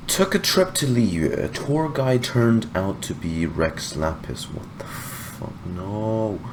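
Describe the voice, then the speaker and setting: A man giving casual, deadpan reaction commentary on a video game, swearing freely, with game music behind him. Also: fairly low pitch, slow pace, noisy background.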